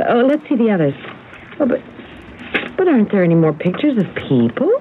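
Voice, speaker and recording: An inquisitive, playful wife who loves a romantic puzzle, acted by a woman in a radio play. Her delivery is highly expressive, with lively swings in pitch. The recording is thin and phone-like, with a faint layer of noise. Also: moderate pace, close to an everyday voice. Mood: intrigued, excited. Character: curious, expressive wife, romantic amateur sleuth. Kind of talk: radio drama acting. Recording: tinny, hissy old radio broadcast recording.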